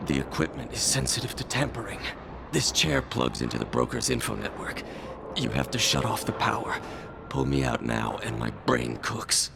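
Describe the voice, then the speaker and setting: A man's voice: medium pitch, big pitch swings, normal speed, background noise. A man playing a weary, wry male alien prisoner in a video game, strained and dryly sardonic. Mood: strained warning. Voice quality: gravelly.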